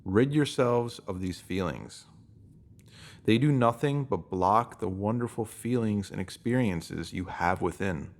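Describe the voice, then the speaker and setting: A man's voice: fairly low pitch, unhurried. A man reading a scripted self-help piece, addressing the listener directly. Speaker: reader of written posts.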